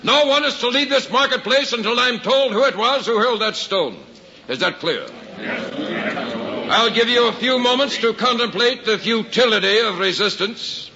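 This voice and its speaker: Harsh, high-pitched Roman officer. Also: male voice, normal speed, sharp tone, full character voice. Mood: menacing, contemptuous. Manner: commanding, contemptuous, declaiming. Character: harsh Roman officer threatening a marketplace crowd. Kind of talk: 1950s radio drama acting. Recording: muffled old radio, long hall reverb, crowd.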